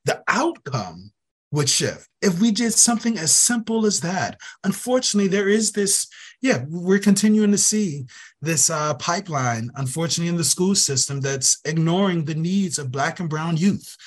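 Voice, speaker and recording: A man's voice: on the high side, lively intonation, moderate pace. A man speaking as an interview guest, earnest and emphatic, repeating phrases to drive his point home. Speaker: guest, youth program director. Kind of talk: conversational interview.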